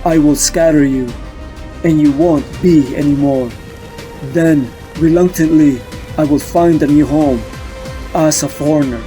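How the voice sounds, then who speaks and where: A man playing a resolute, brooding superhero in a comic dub, measured and grave. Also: a little high, steady tone, warm tone, soft background music, natural voice. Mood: defiant resolve. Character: resolute male alien superhero, brooding and defiant. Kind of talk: dramatic comic dub acting.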